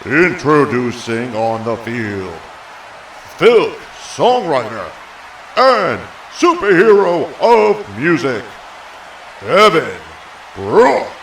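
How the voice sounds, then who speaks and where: A man's voice: mid-range voice, big pitch swings, drawn-out words, a bit bright, heavily acted. Guy doing big ring announcer, drags every word out, sounds far off with some noise. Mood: grand. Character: booming, grand male ring announcer. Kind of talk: drawn-out event introduction. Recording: distant mic with background noise.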